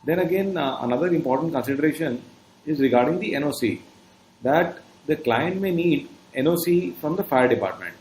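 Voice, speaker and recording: A man's voice: a little high, lively intonation, fairly quick, darkish tone. A man with an Indian accent giving an instructional lecture, explaining step by step in a didactic way. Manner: didactic, often checking in with "okay". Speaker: trainer. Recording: roomy echo, faint background noise.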